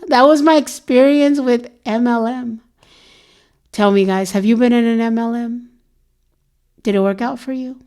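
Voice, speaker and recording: A hoarse woman vlogging in a casual, rambling chat to her viewers, full of "you know" fillers. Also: medium pitch, a little mellow.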